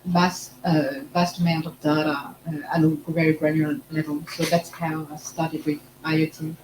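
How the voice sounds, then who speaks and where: A woman's voice: deep voice, little pitch movement, moderate pace. A woman from France with a French accent, talking as a marketing panelist and scattering "like, you know" through her sentences. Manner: heavy use of "like, you know" fillers. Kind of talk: panel discussion. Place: conference panel room. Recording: echoey room, faint hum.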